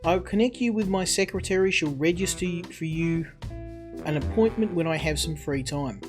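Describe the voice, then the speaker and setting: Man voicing a political officer, insistent, confiding, old-friend familiarity, slightly high voice, game dialogue, faint music. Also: moderate pace, lightly acted. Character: party major, insistent old childhood friend. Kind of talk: visual novel dialogue read aloud.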